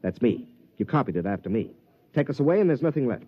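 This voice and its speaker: An American actor playing a proud, determined, suspicious championship golfer in an old-time radio drama, with an intense, musing delivery. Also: male voice, medium pitch, very animated, fast talker. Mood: coolly challenging. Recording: dull vintage radio recording.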